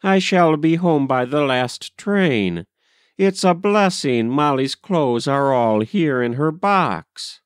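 A man's voice: a little high, animated, slow, clean tone, no room sound, studio quality, pitched above the performer's own voice. A man voicing a proper, practical, flustered mother in a storybook, genteel and given to exclaiming. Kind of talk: storybook character voice.

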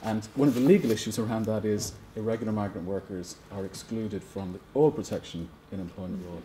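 A man speaks at a seminar panel table in a mellow, fairly low voice. His talk is careful and academic, halting often to restart a phrase. A faint room hum sits underneath. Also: fairly even intonation, rough recording. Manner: hesitant, many restarts, measured.

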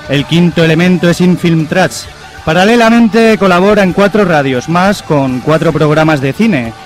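A young man with a Spanish accent co-hosts a radio programme from a small studio. His voice is a little high for a man, and music plays behind his presenting. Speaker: radio co-host.

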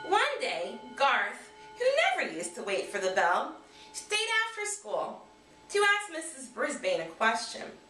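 A young adult woman reading a children's storybook aloud with expressive, animated delivery. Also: on the high side. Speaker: guest storybook reader. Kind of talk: scripted storybook narration read aloud. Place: small furnished room, indoors. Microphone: camera mic across the room. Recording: roomy, distant camera microphone.

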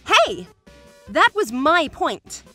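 Casting: woman as assertive cartoon girl, very high and bright, competitive, animated comic-dub read. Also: drawn-out words, faint music behind, exaggerated voice.

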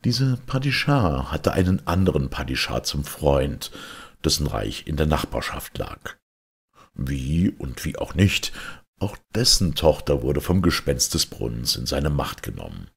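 A man reading a fairy tale aloud as an expressive storyteller. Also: very deep voice, unhurried, creaky, slightly rough.

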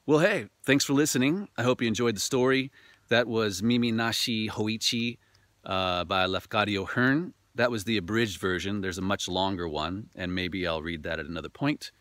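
A man's voice: lowish voice, normal speed, fairly bright tone, no echo. A middle-aged man who works as a storyteller, talking and narrating in a dramatic way. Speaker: storyteller, voice actor. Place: outdoors in a forest.

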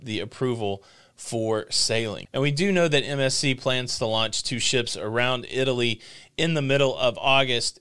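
A man in his forties giving a steady, matter-of-fact news update as a vlog host in a home studio. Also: mid-range voice, clean tone. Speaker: cruise news vlogger. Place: home studio with purple lighting. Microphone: podcast mic in front.

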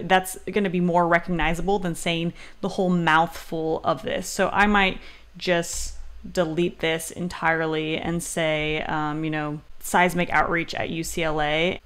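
Woman, 30s, kinda low voice, doing a screen-share lesson, reads bits out then explains the edits. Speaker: writing coach and presenter. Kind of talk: instructional screencast commentary, reading text aloud and explaining edits.

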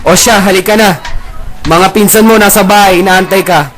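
A man's voice: sits high, moderate pace, a bit bright. A man playing a hearty, affectionate older male relative in a radio drama. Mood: jovial. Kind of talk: amateur radio drama acting. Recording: busy outdoor crowd sounds behind. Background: crowd, outdoor street sounds.

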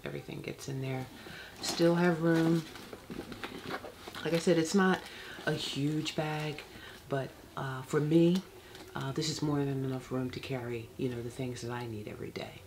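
Woman, 50s, soft-spoken, low voice, slow halting delivery, hissy background. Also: steady tone. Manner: unhurried, halting, many fillers. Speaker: handbag vlogger. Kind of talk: casual product demo. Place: bedroom, seated on a bed. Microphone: external camera mic.

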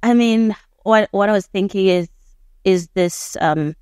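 A woman hosting a casual podcast interview, asking reflective questions and encouraging her guest with soft affirmations. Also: medium pitch, measured pace, smooth, dry recording, studio quality. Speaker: podcast host. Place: small indoor living room. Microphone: studio mic on a desk stand.

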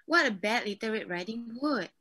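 A woman's voice: on the high side, expressive, normal speed, sharp tone, breathy edge, no echo, studio quality, heavily acted. A teenage girl playing a serious, formal TV interviewer in a scripted drama. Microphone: video call.

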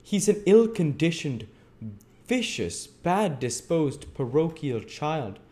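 A man voicing a pompous, angry parish beadle in a storybook, speaking with loud, imperious self-importance. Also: a little high, animated, a little slow, voice pushed up.

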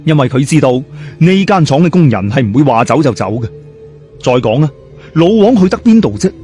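A man's voice: fairly high pitch, darkish tone. A man telling a ghost story in a dramatic, colloquial and suspenseful way.

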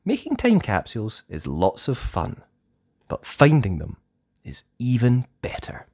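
A woman hosting a storytime, reading a children's book aloud with animated expression. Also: a bass voice, husky, no room sound, clean studio sound. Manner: slow, animated delivery for small children. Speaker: storytime host and narrator.

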